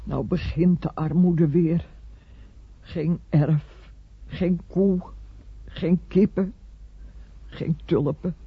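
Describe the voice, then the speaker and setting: An actress playing a nagging, reproachful poor wife in a radio play, mournful in manner. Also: female voice, lowish voice, fairly even intonation, drawn-out words, long pauses, breathy edge, no room sound, heavily acted. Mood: reproachful lament. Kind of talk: Dutch radio play acting. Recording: muffled old radio recording.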